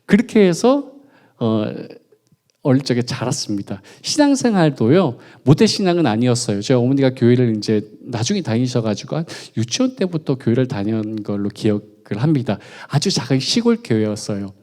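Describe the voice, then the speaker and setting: A man's voice: medium pitch, sing-song, a little fast, warm tone. A man preaching at a midweek church service, chatty and playful, joking with the congregation and asking them questions. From Gunwi, North Gyeongsang, South Korea. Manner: playful, chatty, jokes and quizzes the audience. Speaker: pastor. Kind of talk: sermon with congregation interaction.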